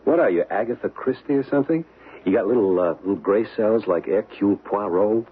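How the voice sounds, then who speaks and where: An actor playing an easygoing young American husband in a radio drama, casual and mildly teasing. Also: male voice, on the low side, brisk, slightly rough, phone-quality sound. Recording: thin, hissy old radio recording.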